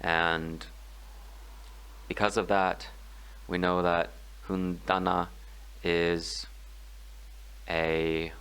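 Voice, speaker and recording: A man explaining a grammar exercise in a tutorial, speaking in a slow, measured way with frequent pauses and filler sounds. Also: low-pitched, little pitch movement, a bit bright, smooth. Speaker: language tutor. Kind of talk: screen-recorded grammar tutorial.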